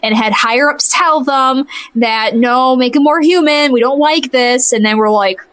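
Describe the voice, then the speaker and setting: A woman co-hosting a podcast in casual chat, talking in an animated, exclamatory way with emphatic repetition. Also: high voice, normal speed. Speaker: podcast co-host. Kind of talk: casual chat about pop culture.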